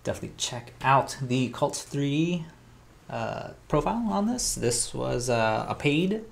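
A man's voice: medium pitch, lively intonation, measured pace, gravelly. A man co-hosting a maker show, chatting in a laid-back, slangy, unscripted way about 3D printing projects.

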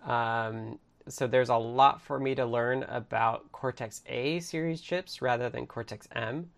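A man's voice: medium pitch, fairly even intonation, unhurried, creaky. A man hosting a casual online team meeting, passing the turn along and giving updates, sometimes reading from notes. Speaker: meeting host.

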